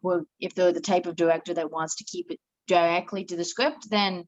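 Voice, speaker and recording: A woman's voice: on the low side, highs cut off. A woman in a casual group discussion, talking in a tentative, meandering way. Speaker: participant in an online film class meeting.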